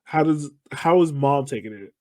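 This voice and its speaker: A man's voice: on the high side, expressive, moderate pace, dark tone, dry recording, clean studio sound. A man with an American accent hosting a casual interview, chatting in a jokey, teasing way. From Virginia, United States. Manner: jokey, teasing, laughs readily. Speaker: podcast host, interviewer.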